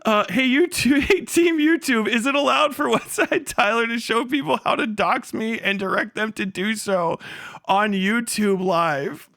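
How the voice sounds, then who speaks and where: A man doing a casual livestream reaction in an animated, incredulous and profane way, breaking easily into laughter. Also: very high voice, measured pace, creaky. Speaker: livestream host. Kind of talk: casual livestream reaction, reading messages aloud with profane commentary.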